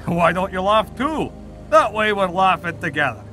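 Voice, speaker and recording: A huge old grandfather from a mythology audio drama, acted out by a woman. The voice is rough yet soothing, kindly and teasing, and it swings widely in pitch. Music plays clearly behind it. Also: sing-song, normal speed, sharp tone. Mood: playful.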